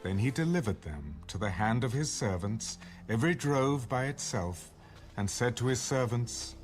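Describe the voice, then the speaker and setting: Male narrator, dramatic scripted Bible reading, lively intonation, background music. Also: mid-range voice, moderate pace.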